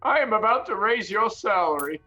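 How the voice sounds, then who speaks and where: High, blustering old miser. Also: male voice, moderate pace, dull audio, faint music behind, exaggerated voice. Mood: giddy, jovial. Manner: blustering, exclamatory, repeats words excitedly. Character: reformed old miser boss, feigning sternness, then giddily jovial. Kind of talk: theatrical audio drama acting.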